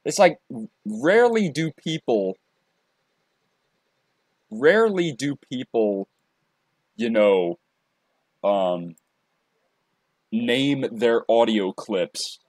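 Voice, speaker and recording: A man presenting a casual software tutorial, talking in a halting way and often repeating his phrases. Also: medium pitch, expressive, no echo. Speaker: tutorial presenter and hobby voice actor.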